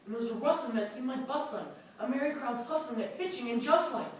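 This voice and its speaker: A woman's voice: sits high, fast talker. A woman reciting narrative verse in a readers theatre performance. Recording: distant, very echoey room, faint noise.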